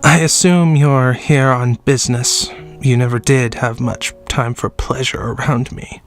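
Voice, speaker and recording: An actor playing a sickly, frail man who seems ancient, coughing and speaking with slow, quiet menace. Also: mid-range voice, steady tone, soft background music, close to an everyday voice. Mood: quietly menacing. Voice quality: coughing, weak, breathy. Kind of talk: audio drama acting.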